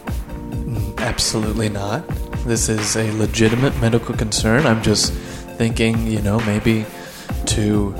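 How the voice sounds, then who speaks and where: A man playing a defensive, evasive sunburned patient in improvised comedy radio theater, speaking in a measured, mildly indignant way. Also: lowish voice, flat delivery, music in the background, natural voice. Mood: pleading, evasive.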